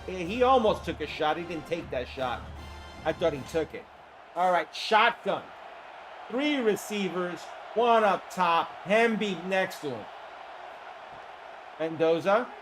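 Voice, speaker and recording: Hoarse high-voiced stream commentator. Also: male voice. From Florida. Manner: chatty, greets viewers by name, excited bursts on big plays. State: hoarse from the flu. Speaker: streamer calling a simulated football game. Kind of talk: live-stream play-by-play commentary.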